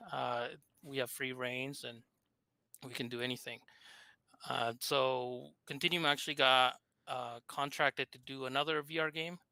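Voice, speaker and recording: A man's voice: mid-range voice, gravelly, pushed and bright, dry recording. A man from Argentina with a thick Argentine Spanish accent, a game developer talking casually with hesitant fillers. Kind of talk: casual interview chat.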